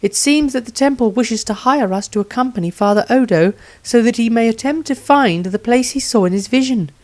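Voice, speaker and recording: A woman voicing an earnest, devout priestess adventurer in a fantasy audiobook, with measured delivery. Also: fairly high pitch, darkish tone, lightly acted. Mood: solemn, earnest. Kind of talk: fantasy audiobook character reading.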